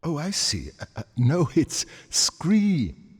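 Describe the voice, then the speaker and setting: An awkward man trying to placate someone in a TV drama. His voice is husky and swings freely in pitch, polite but hesitant. It rings in a long reverberant space. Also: wildly swooping pitch, slow, exaggerated voice. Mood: awkwardly polite. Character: awkward, gently coaxing man humouring a young lady. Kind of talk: TV drama acting.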